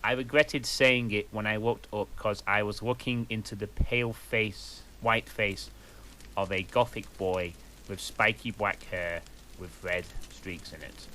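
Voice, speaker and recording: A man reads a story aloud in a slow, deadpan manner, giving each line little emphasis. His voice is bright and forward, and the pace is unhurried. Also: lowish voice, a little hiss or hum, low-quality recording. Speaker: reader. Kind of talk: comic dramatic reading.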